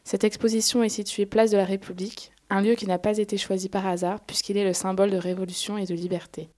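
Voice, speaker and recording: A woman reporter reading a scripted radio report in a measured way, recorded in the field. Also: mid-range voice, little pitch movement, darkish tone.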